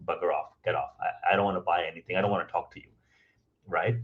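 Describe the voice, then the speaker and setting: A man speaking English as a second language, guesting on a podcast and telling his story in a relaxed, conversational way, with many ums and "right?" tags. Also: low-pitched, quick pace, phone-quality sound. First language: not English. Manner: frequent ums and restarts, tag questions of "right?".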